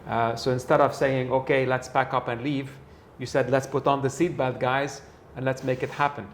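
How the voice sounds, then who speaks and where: A man's voice: mid-range voice, little pitch movement, normal speed, a little hiss or hum. A man hosting an interview, talking conversationally with frequent fillers. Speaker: interview host and sales trainer. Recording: echoey room, faint ambience.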